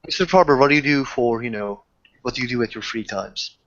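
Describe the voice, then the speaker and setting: A man's voice: medium pitch, lively intonation, brisk, fairly bright tone, slight background noise. A man casually narrating his character's actions in a tabletop roleplaying game, hesitant and restarting phrases. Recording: dull lo-fi voice recording.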